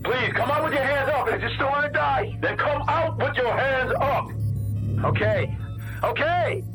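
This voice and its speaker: A man's voice: very high-pitched, unhurried, fairly bright tone, soft background music, heavily acted, poor audio. A tense police officer in an audio drama. He speaks in short, urgent, frightened bursts, with a prominent musical score running beneath him. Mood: tense, commanding.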